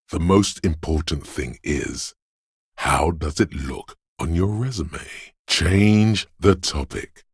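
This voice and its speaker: Video game role: a brooding inner voice of fear and adrenaline, rock-bottom pitch, very husky, ominous and profane. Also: male voice, dry recording, studio quality, full character voice. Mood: brooding, uneasy. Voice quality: very deep, gravelly, husky. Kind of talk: dramatic second-person game narration.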